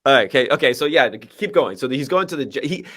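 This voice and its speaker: A man's voice: medium pitch, animated, fast, fairly bright tone. A man hosting a sports podcast, chatting casually with wry, sarcastic asides. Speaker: podcast host.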